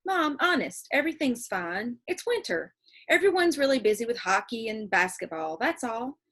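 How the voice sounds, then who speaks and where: Woman reading as a polite, lively schoolboy. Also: a little high, moderate pace. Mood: reassuring, evasive. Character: polite fifth-grade schoolboy, reserved but cheeky.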